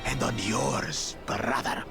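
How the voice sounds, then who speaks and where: A man's voice: medium pitch, steady tone, slow, vocal fry. A man voicing a boastful, bullying two-headed reptilian brute in a video game, pompous and sneering. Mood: gloating. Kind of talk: scripted video game voice acting. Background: music.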